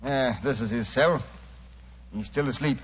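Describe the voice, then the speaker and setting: An actor playing a genial, plain-spoken British police inspector in an old radio drama. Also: male voice, mid-range voice, a little slow, close to an everyday voice. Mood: genial, blunt. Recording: muffled, noisy old radio broadcast.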